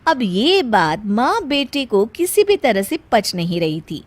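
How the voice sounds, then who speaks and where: A woman's voice: a little high, very animated, unhurried. A woman storyteller reading scripted narration for a story.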